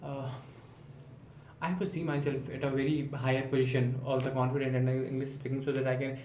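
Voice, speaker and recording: A young man of nineteen talks to his camera in a steady, flat tone with a mellow, darker timbre. He thinks aloud as he goes, restarts his sentences and encourages himself. His voice sounds echoey and roomy, with faint ambient noise behind it. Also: mid-range voice, fairly quick. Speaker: vlogger practising spoken English. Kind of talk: unscripted self-talk monologue to camera.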